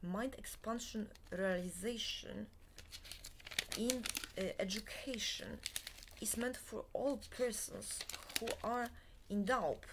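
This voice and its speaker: Slow, halting Polish-native woman. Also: mid-range voice, noisy background. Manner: halting, many filler pauses, often half-whispered. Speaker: self-help lecturer. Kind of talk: slow unscripted monologue to webcam. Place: small room in a bed and breakfast. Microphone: laptop webcam.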